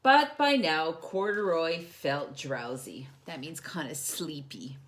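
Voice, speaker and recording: A woman's voice: low-pitched, animated, slow pace, fairly bright tone. A woman hosting a children's storytime, reading a picture book expressively and putting simple questions and explanations to young listeners.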